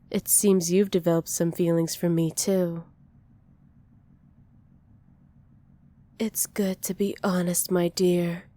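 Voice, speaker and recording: A woman playing a dominant, possessive villain who dotes on a captive, softly menacing and teasing in an ASMR roleplay. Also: fairly low pitch, lightly acted. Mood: smug, affectionate. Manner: soft, teasing menace with breathy whispered endearments.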